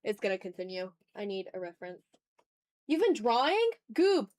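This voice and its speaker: A woman's voice: mid-range voice, sing-song, moderate pace, a little mellow, no echo. A woman streamer talking casually and informally to her chat while she draws, in a rambling, off-the-cuff way. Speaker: art streamer and ASMR YouTuber hosting a livestream.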